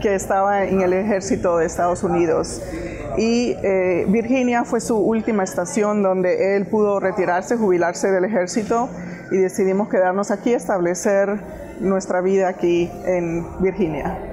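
A woman's voice: medium pitch, steady tone, moderate pace, crowd noise. A middle-aged woman from Honduras with a Honduran accent, answering as an interview guest on a lapel mic. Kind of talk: conversational interview. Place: indoor studio set in a market hall. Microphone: lapel microphone.

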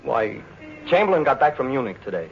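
An actor playing a brash, impulsive, cynical suitor with a blunt, clipped manner in a 1940s radio drama. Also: male voice, mid-range voice, sing-song, fairly quick, gravelly, faint music behind. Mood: angry, impatient. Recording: dull, faintly noisy 1940s radio recording.

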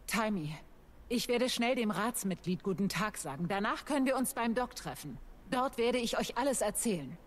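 A woman's voice: a little high, expressive, normal speed. An actress playing a composed, serious heroic woman commander in a video game. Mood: polite, composed.

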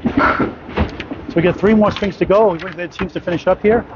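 A man with a slightly high voice calls a bowling tournament live, working through scores and records in a plain, matter-of-fact way. The sound is dull and lo-fi, with loud noise from the alley all around him. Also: moderate pace. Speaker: bowling announcer. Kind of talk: live sports commentary.

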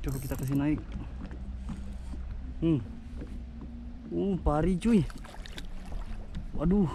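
A young man fishing from a kayak at sea, exclaiming and groaning excitedly as he talks to his action camera. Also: a little high, slow pace. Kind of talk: casual first-person fishing vlog. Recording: outdoor wind and water noise.

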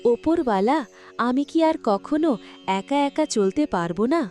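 A woman's voice: high voice, animated, a little slow, dark tone, faint music behind. A woman voicing a frail, pious old village grandmother with aching legs in a cartoon. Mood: weary, pleading.

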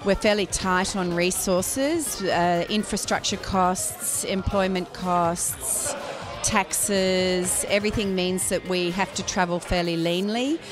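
A woman in her forties, a small business owner and mother, answering a vox pop question in a measured, reflective way. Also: fairly low pitch. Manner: measured, reflective, frequent ums. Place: indoor function room. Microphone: handheld interviewer mic. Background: background music.

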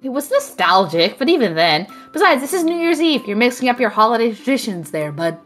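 A woman's voice: a little high, very animated, brisk, fairly bright tone, soft background music. A woman playing a dry, affectionately sarcastic young homebody, teasing her best friend in an audio drama. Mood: dry, fond. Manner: wry, teasing.

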